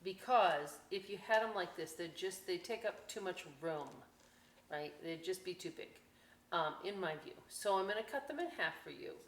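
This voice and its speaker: A woman's voice: fairly low pitch, expressive, moderate pace. A woman hosting a children's library story time and craft demonstration, talking in a folksy, playful way with chatty asides to young viewers. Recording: echoey room, some background noise.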